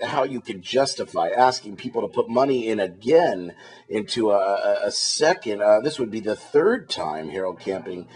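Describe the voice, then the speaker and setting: A man working as an interviewer, challenging his guest in a halting, repetitive way. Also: on the low side, animated, darkish tone, breathy edge. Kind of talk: confrontational phone interview.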